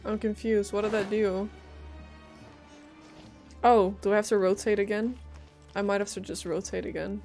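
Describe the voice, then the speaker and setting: A woman's voice: medium pitch, level tone, moderate pace, pauses often, darkish tone. A woman giving casual live gameplay commentary, thinking aloud and often questioning what she sees. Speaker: game streamer. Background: faint game music.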